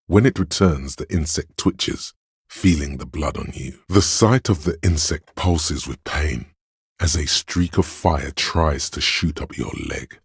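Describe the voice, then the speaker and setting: A man voicing a menacing, darkly poetic inner voice of pain in a video game, narrating in a brooding, deliberate and goading way. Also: very deep voice, breathy edge, dry recording, clean studio sound, exaggerated voice. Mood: tense, ominous. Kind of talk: dramatic second-person game narration.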